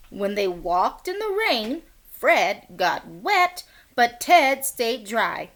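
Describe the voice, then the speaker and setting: A woman reading a bilingual children's picture book aloud as a teacher, in a deliberate, expressive way. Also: mid-range voice. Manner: deliberate, expressive, acts out short quotes; encouraging asides and questions to young listeners.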